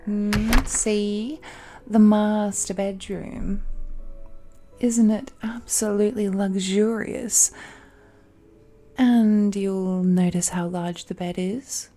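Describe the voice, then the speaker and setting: A woman performs a smug, seductive immortal villainess holding someone captive in a romantic audio drama. She speaks slowly and languidly in a soft-edged, mellow tone, coaxing and condescending, with faint music behind her. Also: medium pitch, flat delivery. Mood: smug, luxuriating. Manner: languid, coaxing, condescending, punctuated with hums and sighs. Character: possessive, seductive ancient supernatural villainess, smugly patient captor. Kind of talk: romantic villain audio roleplay, intimate monologue.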